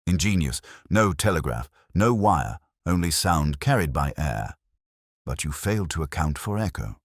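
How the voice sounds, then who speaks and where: A man's voice: a bass voice, big pitch swings, normal speed, no echo, studio quality, exaggerated voice. A man voicing a keen, commanding detective with precise, theatrical, coolly triumphant delivery in an audio story.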